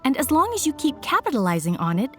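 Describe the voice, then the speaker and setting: A woman playing a polite, earnest professional from a craft business in a video game, friendly and expressive. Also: high-pitched, quick pace. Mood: impressed. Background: background music.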